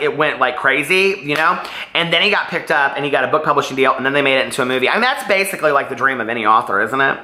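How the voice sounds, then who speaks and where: A man with a bright, forward voice speaks casually and directly to his viewers. He gushes and rambles, leaning hard on words for emphasis. The recording is roomy, with audible echo around the voice. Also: a little high. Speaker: book reviewer vlogger. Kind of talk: casual chatty book review to camera. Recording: roomy, echoey room sound.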